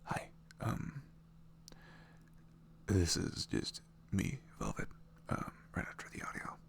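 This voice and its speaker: A man with a very deep voice and some fry speaks in a hushed, gentle ASMR style. He reassures his listeners softly. There is an audible hum in the recording. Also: wildly swooping pitch, takes it slowly. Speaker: audio roleplay creator. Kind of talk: soft ASMR message to listeners.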